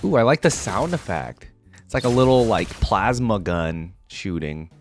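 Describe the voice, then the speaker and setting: A man with a lowish voice that moves up and down in a lively way. He comments casually as a reaction video host, thinking aloud in slang, with music playing behind him. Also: unhurried. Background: music and video game sounds.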